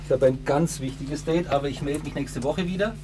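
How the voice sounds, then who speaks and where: An actor playing a breezy, slick music producer in a naturalistic radio drama, chatting casually with English interjections. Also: male voice, fairly high pitch, brisk, dark tone, lightly acted. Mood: upbeat, hurried.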